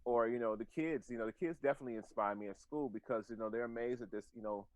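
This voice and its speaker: A man's voice: medium pitch, fast talker, dry recording. An American man in his forties chatting casually as an interview guest, often dropping "you know" into his sentences. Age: 40s. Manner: informal, peppered with "you know". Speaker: podcast guest, bartender, designer and teacher.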